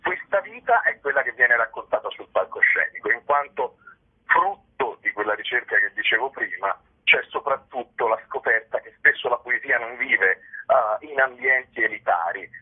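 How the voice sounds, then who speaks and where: Male interview guest, raspy voice, rhetorical and explanatory, heard over a narrow phone connection. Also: medium pitch, expressive, normal speed. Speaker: playwright and director, interview guest. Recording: tinny, narrow telephone line.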